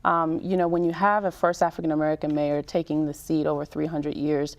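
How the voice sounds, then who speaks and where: A woman in her thirties from Cameroon, speaking as a mayor in an interview in a measured, explanatory way with emphatic lists. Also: low voice, little pitch movement, lo-fi sound. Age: 30s. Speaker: town mayor, interview guest. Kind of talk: public-affairs interview.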